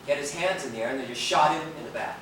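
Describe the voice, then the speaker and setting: An actor on stage playing a guarded, world-weary rebel who speaks in terse, understated replies. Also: male voice, on the high side, racing, distant mic, natural voice. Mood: bitter. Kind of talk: theatrical stage acting. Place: theater stage, picked up from a distance. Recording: roomy, noisy videotape of stage play.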